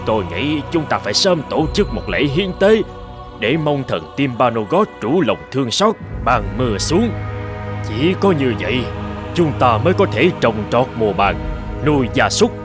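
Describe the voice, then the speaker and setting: A man's voice: medium pitch, close to an everyday voice. A man voicing a grave, worried tribesman in a cartoon fairy tale, speaking solemnly and emphatically.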